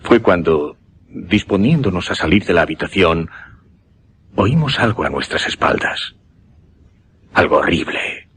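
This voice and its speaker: A low, dramatically swooping Castilian Spanish voice belongs to a grave gentleman witness in a horror radio play. He halts and dwells on each dreadful word, haunted by what he saw. There is clear noise on the recording. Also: animated, unhurried, pauses often. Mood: terrified. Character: grave, horrified gentleman witness recounting a ghastly death. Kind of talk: suspense radio drama acting.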